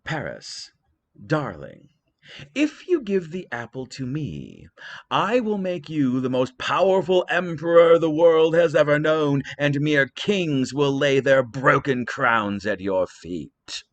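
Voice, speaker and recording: A man's voice: fairly high pitch, big pitch swings, slow pace, no echo, pitched above the performer's own voice. A man voicing a proud queen goddess making sweeping, persuasive promises.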